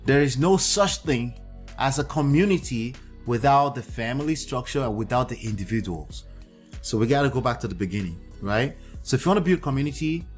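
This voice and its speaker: A man's voice: mid-range voice, animated, brisk. A man with a Nigerian accent speaks in a middle register as he hosts his own livestream. He lectures in an instructive tone and keeps checking in with his listeners. Faint music runs underneath. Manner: lecturing, checks in with "okay?" and "right?". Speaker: livestream host. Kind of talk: livestream commentary.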